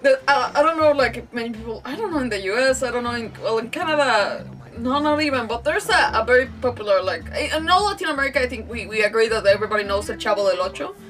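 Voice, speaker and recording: High, animated woman streaming. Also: quick pace, hardly any pauses. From Mexico. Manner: rambling, giggly, animated. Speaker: game streamer. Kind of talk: casual livestream chat over gameplay. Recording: distant mic, faint game music. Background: game music.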